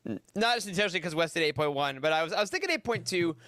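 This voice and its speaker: A man's voice: fairly high pitch, expressive, rapid-fire, sharp tone, dry recording. A man on a podcast panel giving a casual review in an informal, rambling way.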